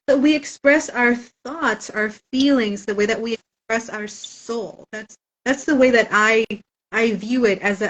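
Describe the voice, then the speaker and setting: A woman's voice: on the high side, poor audio. A woman author whose first language is Spanish, chatting thoughtfully in a casual interview with frequent "um" fillers.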